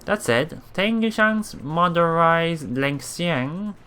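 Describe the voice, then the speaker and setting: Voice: medium pitch, expressive, takes it slowly, fairly bright tone, clear, resonant tone. A let's play streamer reading game text aloud with casual reactions, often stumbling over words and repeating them.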